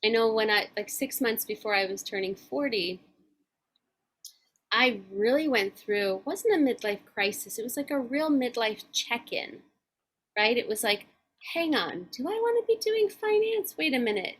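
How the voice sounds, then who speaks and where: A woman's voice: a little high, lively intonation, a bit bright, slightly rough. A woman in her forties co-hosting a podcast, talking reflectively and earnestly in casual chat. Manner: reflective, earnest, speaks in measured thoughts. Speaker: podcast co-host.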